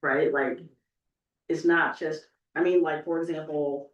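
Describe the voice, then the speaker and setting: A woman's voice: low-pitched, level tone, measured pace, highs cut off. A woman speaking conversationally as an oral historian on a panel, emphatic and often checking in with "right?". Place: indoor event room. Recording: echoey room, distant microphone.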